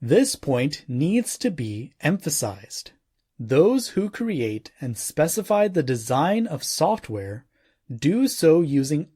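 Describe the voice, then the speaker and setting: A man reading a scripted non-fiction audiobook in a formal, measured way with deliberate phrasing. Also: fairly high pitch, a little mellow, breathy edge. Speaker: audiobook narrator.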